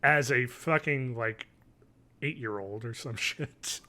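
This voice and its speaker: Young male podcast co-host, bright voice, mid pitch, loose sweary slang, casual banter. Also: slow pace. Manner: profane, slangy. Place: living space at home, indoors. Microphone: desk microphone, on video call.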